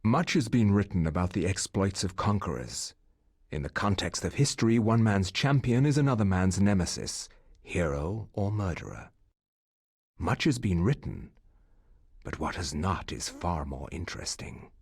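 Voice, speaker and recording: A man giving measured, reflective scripted narration for a video game. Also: sits low, sing-song, darkish tone, breathy edge, no room sound, studio quality, exaggerated voice.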